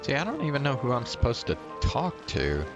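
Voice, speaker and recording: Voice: mid-range voice, wildly swooping pitch, fairly quick, music in the background. A game streamer giving casual, blunt and sweary commentary while playing. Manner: blunt, sweary, hesitant musing.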